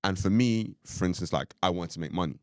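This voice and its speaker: Deep-voiced young man, rambling casual chat. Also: fairly quick, darkish tone, no room sound, clean studio sound. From Ireland. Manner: rambling, full of "like" and "you know what I mean". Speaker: podcast co-host.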